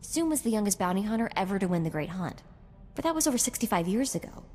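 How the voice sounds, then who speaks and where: An excitable young female sidekick in a video game. Her medium-pitched voice is chatty and eager, set in a long reverb. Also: fast. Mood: excited. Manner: excitable, informal.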